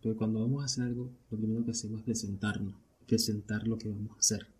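A man's voice: fairly low pitch, little pitch movement, fast, a little mellow, slightly rough. A Venezuelan man hosting a casual solo podcast, talking conversationally and often posing questions that he then answers himself. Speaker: podcast host.

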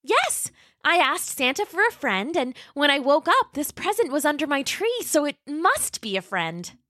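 A woman voicing a sweet, polite young female T-Rex in a high, pleading, childlike voice. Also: sing-song, moderate pace, pushed and bright, no room sound, clean studio sound, heavily acted.

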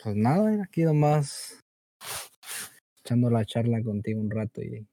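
A man in his early twenties with a Mexican Spanish accent, born in the United States and raised in Mexico, chatting as a guest in a relaxed, hesitant, slangy way with lots of fillers. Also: medium pitch, measured pace, no room sound. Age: 20s. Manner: laid-back, hesitant, slangy, many fillers. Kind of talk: casual chat.

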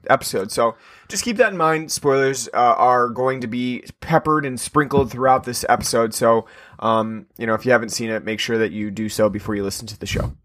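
A man hosts a podcast in loose, casual chat, circling back on himself with plenty of ums and uhs. His voice has a bright, forward edge. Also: medium pitch. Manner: rambling, filler-heavy. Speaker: podcast host.

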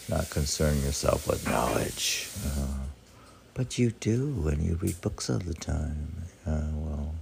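A man's voice: extremely low pitch, vocal fry, a little mellow. An older man musing casually and reciting short poems, rambling along as he asks and answers his own questions. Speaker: poet, meditation teacher. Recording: faint background noise with clicks.

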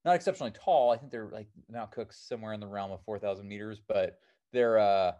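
A man's voice: fairly low pitch, fast talker, warm tone, lo-fi sound. Rambling American man, climber guest. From born in New Zealand, raised in Seattle. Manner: rambling, many fillers like "um" and "you know". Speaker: alpine climber and filmmaker, guest. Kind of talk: casual interview answers.

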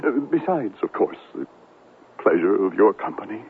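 A man's voice: on the low side, very animated, normal speed, phone-quality sound. A man acting a vain, rugged TV correspondent full of wounded self-pity and bluster in a 1970s radio drama. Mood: wounded, defensive. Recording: thin band-limited 1970s radio broadcast, faint noise.

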